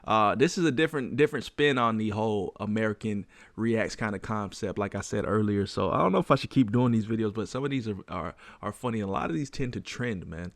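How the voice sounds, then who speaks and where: A man's voice: fairly low pitch, expressive, fairly quick, hardly any pauses, no echo. A young man hosting a reaction video, talking casually and rambling as he thinks aloud. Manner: laid-back, rambling, repeats words while thinking. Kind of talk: casual commentary on a played video.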